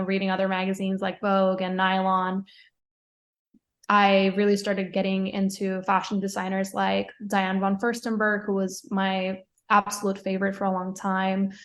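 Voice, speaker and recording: A young woman from Puerto Rico talks through her answers in a relaxed interview. Her pitch stays flat and even, and she keeps adding fillers and quick restarts. Her laughs come often and lightly. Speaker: podcast guest, fashion writer.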